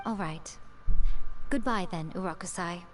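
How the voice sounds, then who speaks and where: A woman's voice: on the low side, unhurried, noisy background, lightly acted. A woman voicing a poised, powerful, centuries-old fox spirit in a video game, composed, knowing and slyly teasing. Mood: fond, assured. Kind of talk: video game character acting.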